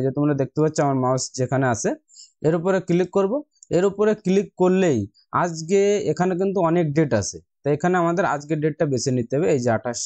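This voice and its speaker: Indian bengali guy doing a casual how-to, talks really fast, pretty dry sound, instructional. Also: a little high, mellow, no room sound. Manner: instructional, addresses viewers as friends. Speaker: investing tutorial host. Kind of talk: casual screen-recorded tutorial narration.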